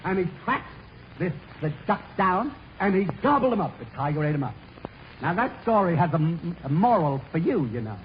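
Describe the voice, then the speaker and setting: An actor playing a silly, exasperated prison warden in a 1930s radio comedy, clownish and given to muttered sarcastic asides. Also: male voice, high-pitched, big pitch swings, moderate pace. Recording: muffled, noisy 1930s radio broadcast.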